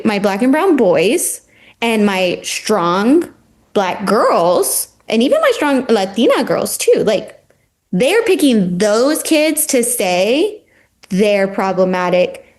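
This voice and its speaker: A woman's voice: medium pitch, takes it slowly. A woman who is a former teacher, speaking passionately and with animation as a podcast guest, using "like" often. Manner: passionate, animated, heavy use of "like". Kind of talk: casual podcast conversation.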